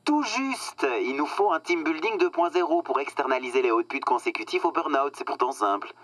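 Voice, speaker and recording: A man's voice: a little high, animated, brisk, fairly bright tone. An actor playing a smug, patronizing corporate marketing man who talks in glib business jargon in a radio satire. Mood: breezy, self-important. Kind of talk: satirical radio comedy dialogue, phone-call scene.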